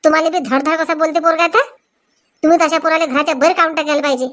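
A woman's voice: high-pitched, fairly even intonation, very fast, a bit bright. A woman voicing a quarrelsome young daughter-in-law in a comic cartoon, complaining combatively. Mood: angry, accusing.

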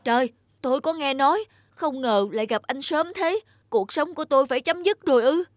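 A woman voicing a kind-hearted, excitable young peasant man in a folk-tale cartoon, with earnest exclamations. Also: sits high, a little fast, no room sound, clean studio sound, soft background music, pitched above the performer's own voice, close to an everyday voice. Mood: alarmed.

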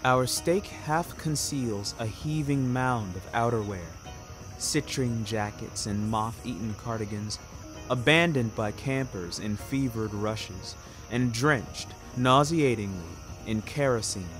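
A man narrating a scripted first-person story in a deliberate, dramatic and florid manner. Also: mid-range voice. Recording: music behind narration.

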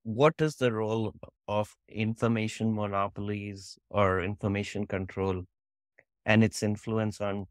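Low-voiced male interviewer. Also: flat delivery, unhurried, no room sound, studio quality. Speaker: interviewer, podcast host. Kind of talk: measured interview questioning.

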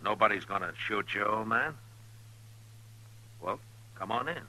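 An actor playing a calm, steady frontier lawman in a western radio drama, terse and plainspoken. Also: lowish voice, unhurried, full character voice. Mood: calm, questioning. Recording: noisy 1950s radio recording.

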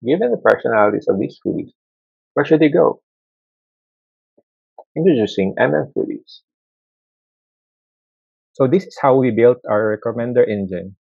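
Man, gravelly low voice, measured reading, dry dull lo-fi recording. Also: animated, dry recording. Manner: measured reading with small restarts. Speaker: student presenter. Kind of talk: scripted project presentation. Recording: dull lo-fi laptop mic.

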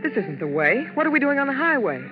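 A woman's voice: high-pitched, very animated. Scared clingy showgirl wife in an old 50s radio play, pleading and stammery, dull lo-fi sound. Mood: frightened pleading. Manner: pleading, halting, repeating herself. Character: frightened, clinging Las Vegas showgirl wife. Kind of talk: 1950s radio drama acting. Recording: dull 1950s radio broadcast with music.

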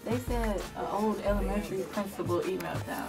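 A woman's voice: medium pitch, fairly quick. A young adult woman chatting casually to the camera in a vlog. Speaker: singer, subject of the vlog. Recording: music over talk. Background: music.

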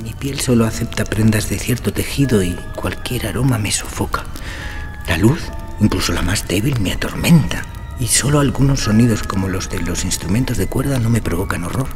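A man's voice: on the low side, normal speed, music in the background, natural voice. An actor playing an ailing, anguished nobleman in a Gothic audio drama, trembling, hesitant and agitated. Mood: nervous, tormented. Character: ailing, anguished nobleman, frail, nervous and doomed.

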